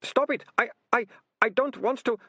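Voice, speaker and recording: A man's voice: very animated, normal speed, fairly bright tone, slightly rough, dry recording, full character voice. A man voicing a guilty, whining rat-catcher in a storybook, moaning and stammering his excuses in colloquial speech. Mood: panicked, wailing. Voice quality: high-pitched moaning voice. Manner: stammering, colloquial, self-justifying.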